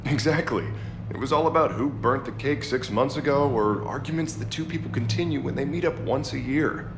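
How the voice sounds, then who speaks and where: An actor playing a chatty, wry party guest in a video game, telling things conversationally. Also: male voice, medium pitch, fairly quick, natural voice. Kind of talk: cinematic video game dialogue. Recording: echoey room with party music.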